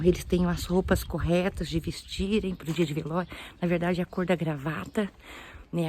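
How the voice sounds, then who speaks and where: A woman talks in a lowish voice, earnest and halting, pausing often to restart her phrases. She speaks casually into a handheld phone while she walks outdoors. Faint noise sits behind her. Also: darkish tone. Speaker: missionary. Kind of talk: casual religious vlog talk. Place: outdoors, walking past houses and ruins.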